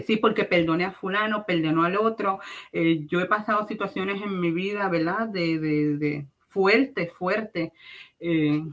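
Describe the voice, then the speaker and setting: A woman's voice: lowish voice, a bit bright. Puerto Rican woman, dull lo-fi recording. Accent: Puerto Rican Spanish. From Puerto Rico. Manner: earnest, emphatic repetition, addresses listeners directly. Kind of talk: personal religious testimony, conversational preaching.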